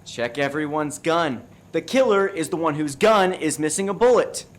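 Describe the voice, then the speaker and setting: A man playing a hapless, self-important amateur sleuth in a radio farce, with measured, mock-serious delivery. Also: on the high side, animated, natural voice. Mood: decisive. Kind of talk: farce radio play acting.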